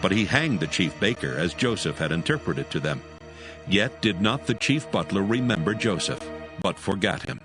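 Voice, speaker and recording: A man's voice: low-pitched, expressive, moderate pace. A man narrating scripture as a solemn storyteller in a dramatized audio style. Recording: music behind the voice.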